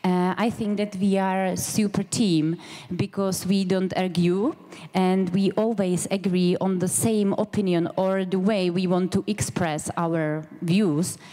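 A young woman interprets at a conference in a mellow, slightly low voice. She speaks through a handheld microphone, and the large hall rings around her words. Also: fairly even intonation, unhurried, hardly any pauses. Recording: reverberant conference hall sound.

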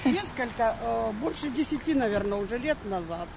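A woman's voice: on the high side, lively intonation, normal speed, gravelly. An older woman, a pensioner, answering a reporter on the street in a chatty, self-deprecating way. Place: outdoors on a city street. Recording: street noise.

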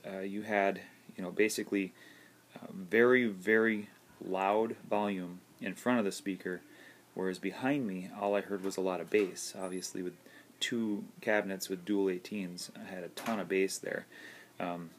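A man with a very low voice and plenty of vocal fry talks casually to a camera held at arm's length. He sounds like a working DJ giving an informal review, feeling his way through his points in a halting, conversational manner. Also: slight background noise. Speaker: DJ and entertainer reviewing gear. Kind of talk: casual video review talk. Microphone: phone or camera at arm's length.

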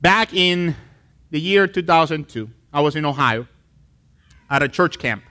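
Pastor guy preaching in church, high-ish voice, bright tone, lively storytelling. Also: a little slow, clear, resonant tone. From Colombia. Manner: animated storytelling, acts out voices. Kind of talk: sermon. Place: church stage with wood wall.

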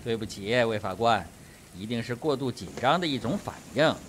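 An actor playing a flippant army captain with sardonic humour in a radio drama. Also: male voice, mid-range voice, lively intonation, moderate pace, fairly bright tone, a little hiss or hum, close to an everyday voice.

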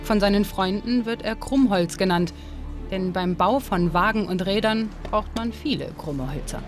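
A woman narrates a history documentary in a calm, measured voice-over. Her pitch sits in the middle range and her delivery stays steady. Clear background music plays under her voice. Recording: studio voice-over with music behind.

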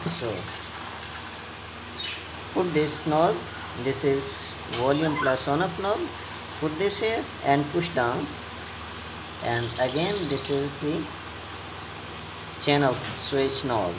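Slow, low-voiced woman over loud noise. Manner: halting, short simple phrases. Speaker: repair tutorial presenter. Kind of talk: step-by-step hands-on instruction. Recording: loud background noise, bird and animal sounds.

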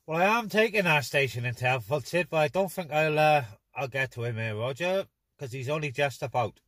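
A man's voice: on the high side, expressive, fairly quick, a bit bright, no echo. A man operating a CB radio in casual on-air chat, with informal, chummy sign-offs. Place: inside a parked car on open moorland. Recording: noisy in-car recording with clicks.

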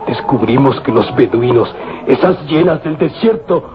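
A man's voice: mid-range voice, animated, moderate pace, close to an everyday voice. Man acting a frightened, stammering servant. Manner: stammering, fearful. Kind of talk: old radio serial acting. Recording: dull old radio recording with music.

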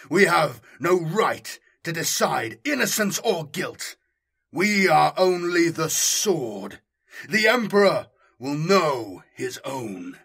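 A man's voice: on the high side, vocal fry, fairly bright tone, husky, pitched above the performer's own voice, full character voice. A man voicing a zealous armoured warrior chaplain, preaching before battle in fiery, solemn, commanding oratory. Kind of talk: dramatic audiobook sermon.

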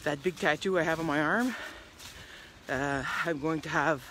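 A middle-aged woman talking casually to her phone while walking a wooded trail, rambling with many restarts and often trailing off into a quiet murmur. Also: low-pitched, moderate pace, background noise. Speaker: hiking vlogger. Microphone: phone held at arm's length.

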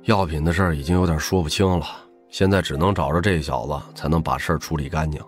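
A man's voice: extremely low pitch, steady tone, fast talker, soft background music. A man playing a cheeky, eager young buddy, playful and wheedling, in an audiobook drama. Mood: coaxing.

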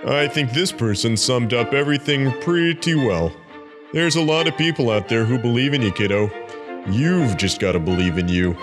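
A man voicing a laid-back, kindly skeleton in a cartoon comic dub, with a casual, halting delivery. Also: medium pitch, expressive, over music, lightly acted. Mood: gentle, reassuring. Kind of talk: comic dub character acting.

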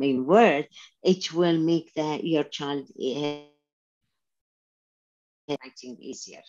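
A woman's voice: low-pitched, darkish tone, dull audio. A middle-aged woman from Syria, an Arabic teacher, speaking earnestly with restarts on a video call through a headset. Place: home room indoors.

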